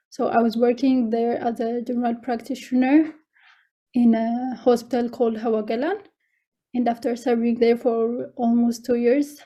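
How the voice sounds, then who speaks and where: A woman's voice: fairly high pitch, dark tone. A young woman with an Ethiopian accent giving an informal online presentation, hesitant and often repeating her words. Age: young adult. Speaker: scholarship student presenter. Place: online video meeting.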